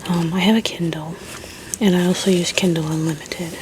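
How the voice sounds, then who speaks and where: A woman whispering softly and very quietly as she chats through an ASMR video at home. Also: on the low side, fairly even intonation, background noise. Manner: slow, unhurried chatty whispering. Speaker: ASMR creator. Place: home room. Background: crinkling of paper and book stickers.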